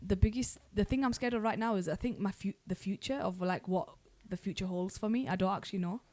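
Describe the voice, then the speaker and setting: A young woman hosting a podcast, chatting casually and quickly with her siblings and often restarting her sentences. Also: medium pitch, darkish tone. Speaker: podcast host.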